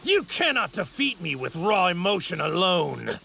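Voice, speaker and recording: A man's voice: high-pitched, very animated, moderate pace, creaky, bright, forceful tone, slight background noise, full character voice. A man playing an arrogant godlike villain in an English anime dub, with pompous, theatrical, formal delivery. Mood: disdainful. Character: arrogant godlike male villain in a stolen warrior body.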